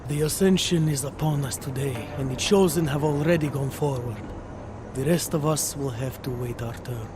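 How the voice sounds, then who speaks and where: A man playing a serene, unyielding cult devotee in a video game, speaking in a formal, calm, pious manner. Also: mid-range voice, moderate pace, noisy background, close to an everyday voice. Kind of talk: video game cutscene acting.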